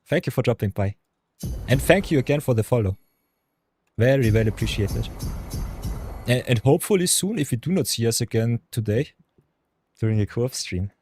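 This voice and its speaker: Male game streamer, deep voice, easygoing chatter with chat, mutters to himself mid-play. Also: lively intonation, moderate pace, long pauses, darkish tone, soft background music. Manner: chatty, talks to chat viewers, hums and mutters while thinking. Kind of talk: casual live-stream chat over gameplay.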